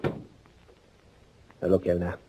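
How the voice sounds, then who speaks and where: An actor playing a grim, commanding man on an undercover mission, terse and deliberate, in 1940s radio thriller style. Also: a little hiss or hum, poor audio. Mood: grim, controlled. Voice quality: low, dark, husky. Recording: old 1940s radio recording.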